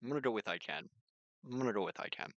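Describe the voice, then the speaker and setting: A man's voice: medium pitch, lively intonation, very fast, fairly bright tone, no room sound, highs cut off. A man hosting a game stream with casual, playful chat, often breaking off and restarting his sentences. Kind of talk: casual gaming livestream chat, reading game text aloud.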